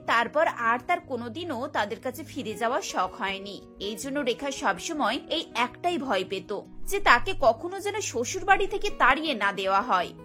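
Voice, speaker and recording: A woman's voice: high-pitched, pushed and bright. A woman giving scripted narration for a cartoon story.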